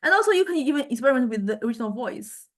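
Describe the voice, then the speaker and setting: A woman teaching music technology in an informal software demonstration, thinking aloud and explaining tentatively in a halting way. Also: high-pitched, expressive. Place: recorded software lesson.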